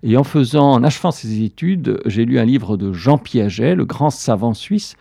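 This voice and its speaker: A man's voice: mid-range voice, expressive, normal speed, gravelly, mellow, no room sound, studio quality. An older man from Belgium, a professor, answering interview questions thoughtfully with frequent fillers. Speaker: professor guest.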